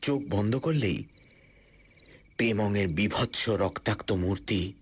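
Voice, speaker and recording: A man narrating a suspense story dramatically, in a scripted first-person style with deliberate pauses. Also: lowish voice, a little hiss or hum. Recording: loud music under the voice. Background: loud background music.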